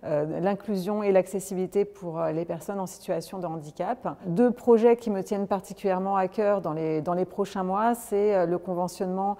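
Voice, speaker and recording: A woman's voice: medium pitch, fairly quick, few pauses, warm tone, big-room sound. A woman speaking as a local official in an interview, carefully and in short broken phrases. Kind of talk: interview statement.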